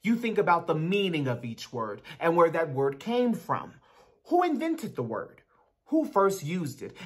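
A man's voice: sits high, husky, full character voice. A man in a dramatic book reading, playing an aloof, bookish genius teenage boy with a precise, pedantic delivery. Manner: pedantic, theatrical. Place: small bare room at home. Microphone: video call.

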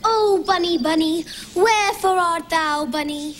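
An actress playing a cheerful young storybook heroine, chatty and lightly playful in a children's TV show. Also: female voice, very high-pitched, unhurried. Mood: theatrical. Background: background music.

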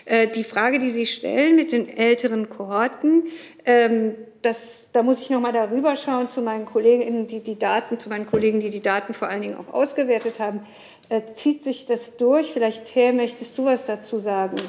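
Woman academic, higher voice, deliberate. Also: a little mellow. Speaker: academic researcher. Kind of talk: press conference answer. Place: large indoor press conference room.

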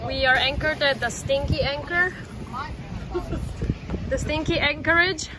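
A woman's voice: high voice, a little slow. A woman narrating a casual travel vlog in a wry, playful way, outdoors on a sailboat. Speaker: sailing vlogger. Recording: loud wind and boat noise. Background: wind, water and boat noise.

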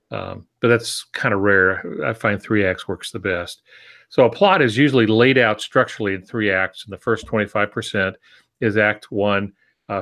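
A man in his sixties with a low voice speaks as an informal teacher. He explains step by step in an easygoing way, often adding asides and disclaimers. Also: fairly bright tone. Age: 60s. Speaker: co-host and writing teacher. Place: small home office, on a video call.

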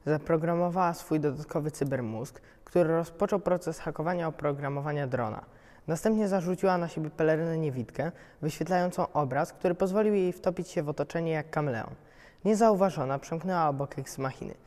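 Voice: medium pitch, fairly quick, a little mellow. Young teen narrator in a reverberant hall. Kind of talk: scripted story narration.

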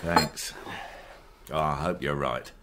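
An actor playing a weary, frustrated senior policeman in a radio drama, gruff and deliberate. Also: very deep voice, steady tone, bright, forceful tone, slight background noise, heavily acted.

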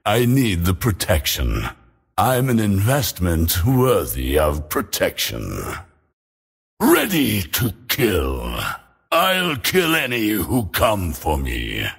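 An actor playing an arrogant, bloodthirsty demonic male sorcerer in a video game, with a slow, threatening, boastful delivery. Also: wildly swooping pitch, heavily acted. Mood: menacing. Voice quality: deep gravelly menacing growl.

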